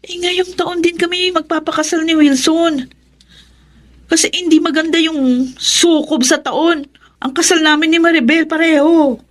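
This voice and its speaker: An actress playing a superstitious, bossy elder sister in a Filipino radio drama, arguing insistently. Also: female voice, extremely high pitch, steady tone, a little slow. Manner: insistent, argumentative.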